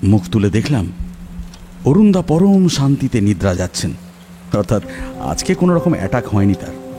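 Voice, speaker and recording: A man's voice: fairly low pitch, big pitch swings, normal speed. A man narrating a first-person horror story in a dramatic style. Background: background music.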